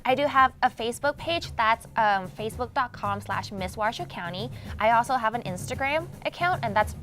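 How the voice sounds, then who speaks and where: A woman's voice: fairly high pitch, lively intonation, moderate pace, a bit bright, faint music behind. A young woman from Thailand, a native Thai speaker, answering interview questions in a casual conversational way. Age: young adult. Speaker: interview guest, pageant titleholder and journalism student.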